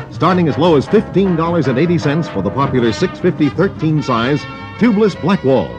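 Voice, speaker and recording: An American male announcer delivers a scripted 1960s radio advertisement with a lively, swinging pitch, set a little high. Music plays behind him on the dull AM recording. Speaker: commercial announcer.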